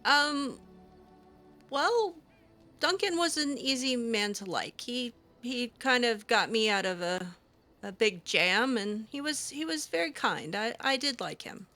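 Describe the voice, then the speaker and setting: A woman's voice: fairly high pitch, animated, a little slow, bright, forceful tone. A woman improvising in-character roleplay, hesitant and full of ums. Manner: hesitant, with frequent ums and restarts. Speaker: roleplaying game player. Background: faint game music.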